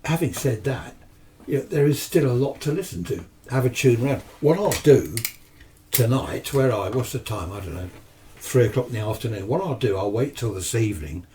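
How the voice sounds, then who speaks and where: A man's voice: mid-range voice, lively intonation, normal speed, mellow, a little hiss or hum. A man in his seventies with a British accent chatting casually and enthusiastically about his hobby, rambling and answering his own questions, recorded on a distant microphone. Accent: British English. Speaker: amateur radio hobbyist presenter. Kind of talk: casual rambling chat to camera.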